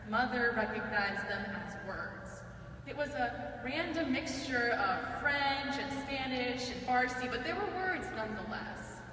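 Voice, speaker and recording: A young woman delivers a student speech in a slightly high voice, earnest and measured with a bit of dry wit. She is heard from a distance in a large, reverberant hall with a faint hiss underneath. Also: a bit bright. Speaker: student speaker. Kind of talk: prepared speech. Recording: echoey hall, mic far off, faint hiss.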